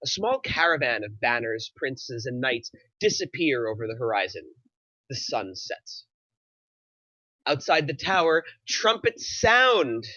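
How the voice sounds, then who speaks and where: Bright-voiced man reading stage directions. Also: mid-range voice, sing-song, unhurried, no room sound, lo-fi sound. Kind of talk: scripted narration at a screenplay table read.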